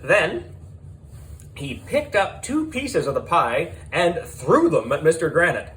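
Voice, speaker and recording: Male children's audiobook reader: excitable, lively swings in pitch, slow measured pace. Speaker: audiobook narrator. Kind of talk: animated children's story narration.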